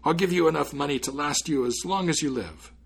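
A man voicing a tiny trapped spirit, high, muffled and strained, pleading and wheedling in a storybook tale. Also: a little fast, vocal fry, husky. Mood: pitiful, wheedling.

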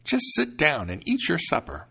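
A man voicing a kindly but scolding old grandmother in a high, slow voice. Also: wildly swooping pitch, vocal fry, sharp tone, husky, exaggerated voice.

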